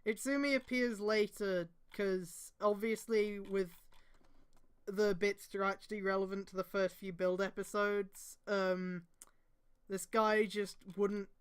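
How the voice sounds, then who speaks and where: A woman's voice: medium pitch, fairly even intonation, a little slow, clean tone. A woman reading amateur fan fiction aloud on a stream, breaking off for casual, exasperated asides with some swearing.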